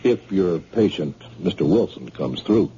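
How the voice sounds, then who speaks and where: An actor playing a dogged police sergeant who questions a witness in a brisk, businesslike way, on a dull old radio recording. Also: male voice, low voice, animated, dark tone, full character voice. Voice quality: husky.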